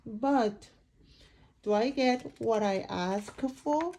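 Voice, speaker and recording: A woman's voice: on the high side, drawn-out words, dark tone, slight background noise. A woman voicing a dramatic, complaining storybook pigeon with a whiny, emphatic delivery. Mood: boastful grumbling. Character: indignant, dramatic pigeon who complains and pleads. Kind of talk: picture book read-aloud character.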